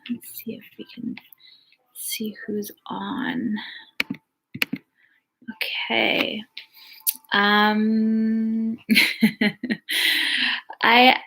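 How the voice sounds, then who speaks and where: A woman with a soft, relaxing voice, hosting a casual live chat, reading viewer comments and answering questions. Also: medium pitch, poor audio. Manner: chatty, reads comments aloud, frequent "um". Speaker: live stream host.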